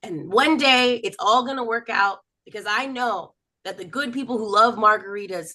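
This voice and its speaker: A woman's voice: on the high side, normal speed. A woman from Texas doing a self-mocking stand-up comedy set on a video call. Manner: chatty, self-mocking, lively storytelling.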